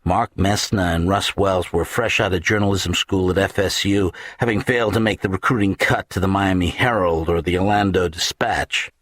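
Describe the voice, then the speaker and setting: Deep husky male audiobook narrator. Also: moderate pace, few pauses, fairly bright tone. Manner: wry, dramatic delivery. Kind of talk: scripted first-person comic novel narration.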